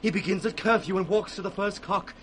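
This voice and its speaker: Sharp-voiced raving mad beggar. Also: male voice, high-pitched, fairly quick. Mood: frantic raving. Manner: chanting, breaks into sudden cries. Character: raving male mad beggar, haunted by fiends. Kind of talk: 1960s Shakespearean radio acting. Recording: faintly noisy 1960s radio recording.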